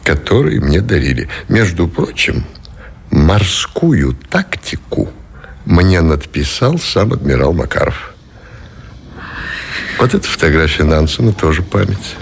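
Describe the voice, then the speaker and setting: An actor playing a grave, courtly middle-aged Russian admiral in love, in measured, tender radio drama style. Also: male voice, low-pitched, sing-song, gravelly, breathy edge, heavily acted. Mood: calm, warm.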